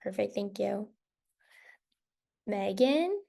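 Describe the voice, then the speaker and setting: A woman hosts an online school session in an informal, encouraging way. Her voice sits at a middle pitch with a noticeable creak. She sounds like a staff member passing questions along. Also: expressive, very slow, dark tone. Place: video call.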